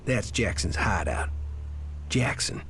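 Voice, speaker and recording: An actor playing a wary former gang outlaw in a video game, rueful, halting and fatalistic. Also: male voice, lowish voice, sing-song, fairly bright tone, a little hiss or hum, heavily acted. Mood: troubled, wary. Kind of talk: video game character dialogue.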